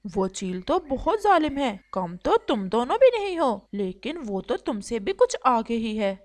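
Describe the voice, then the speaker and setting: A woman's voice: on the high side, very animated, a little slow. A woman voicing a knowing male pigeon in a cartoon story, blunt and earnest. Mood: frank.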